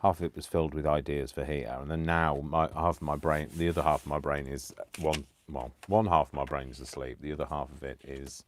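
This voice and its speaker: A man hosting a live crafting stream, chatting casually and poking fun at himself, tired and clearing his throat. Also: a bass voice, fairly even intonation, a little fast, few pauses, slight background noise. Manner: chatty, self-deprecating, talks to himself. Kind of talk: rambling casual chat while crafting.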